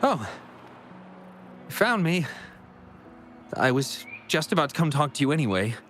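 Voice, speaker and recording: A man's voice: fairly high pitch, big pitch swings, measured pace, a bit bright, slight background noise. A man playing an earnest, apologetic young researcher in a video game, hesitant and confiding. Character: earnest, apologetic young male researcher, worried about friend.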